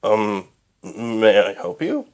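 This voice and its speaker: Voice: medium pitch, very animated, slow, darkish tone. A performer voicing a polite, slightly flustered adult male pony father in a mild, hesitant way for a story.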